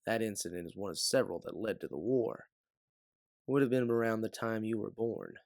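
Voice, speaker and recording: A man playing an older, protective bounty hunter mentor in an audio drama, speaking in an earnest, measured way. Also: fairly low pitch, a little mellow, dry recording, clean studio sound, close to an everyday voice. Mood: weary, frank. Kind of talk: dramatic audio fiction acting.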